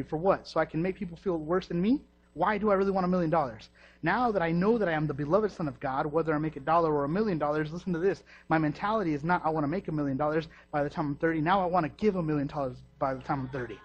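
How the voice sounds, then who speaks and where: A young man preaching a sermon with emphatic, animated delivery, calling out to the congregation. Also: high voice, breathy edge. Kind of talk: energetic sermon. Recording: dull lo-fi recording.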